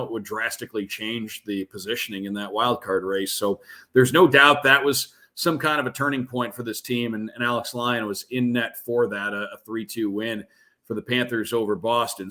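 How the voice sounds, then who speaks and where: A man speaking as a guest radio play-by-play announcer, giving measured, detailed sports analysis in a conversational way. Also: medium pitch, pushed and bright.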